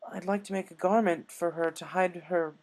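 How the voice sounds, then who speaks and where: Man as female unicorn pony, raised pitch, refined, eager, polite, earnest, storybook character. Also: fairly even intonation, moderate pace, a little mellow. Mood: earnest, respectful.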